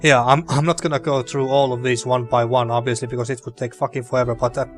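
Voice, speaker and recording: A man's voice: medium pitch, quick pace, soft background music. Man with a dry, fading voice. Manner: relaxed, thinking aloud, mildly sweary. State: dry throat, losing voice. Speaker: gaming video host. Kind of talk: casual gameplay commentary.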